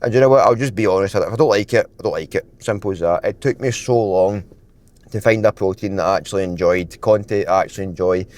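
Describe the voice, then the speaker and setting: A young man with a lowish voice chats to camera about his fitness routine. He rambles casually and leans on filler words, and his throat sounds dry and a little croaky. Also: fast, darkish tone. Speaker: fitness vlogger. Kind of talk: casual vlog talk to camera.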